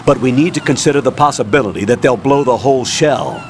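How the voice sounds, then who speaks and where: A man's voice: mid-range voice, quick pace, a little hiss or hum, natural voice. A man playing a curt military colonel. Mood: commanding. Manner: curt, commanding, briefing orders. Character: stern male military colonel, curt commander. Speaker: commanding officer on radio. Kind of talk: video game cutscene acting.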